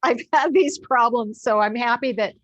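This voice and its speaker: Woman host, conversational interviewer. Fairly high voice, dry but slightly dull recording. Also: normal speed, no echo. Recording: dull, lo-fi audio.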